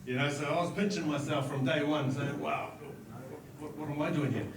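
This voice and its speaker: A man in his forties with a New Zealand accent, a podcast guest recalling his career in a measured way, with frequent pauses and fillers. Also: fairly high pitch, steady tone, roomy sound, distant mic, a crowd in the background. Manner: reflective, measured storytelling with many fillers. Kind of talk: podcast interview.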